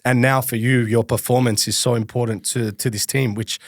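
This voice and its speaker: A man's voice: medium pitch, flat delivery, brisk, dry recording, studio quality. An Australian man hosting a podcast, chatting casually as he interviews a guest. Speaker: podcast host.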